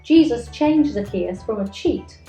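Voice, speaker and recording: A woman's voice: fairly high pitch, animated, a little slow, warm tone, roomy sound, soft background music, rough recording. A woman speaking to children as a story reader at an online church session, in a friendly storytelling style.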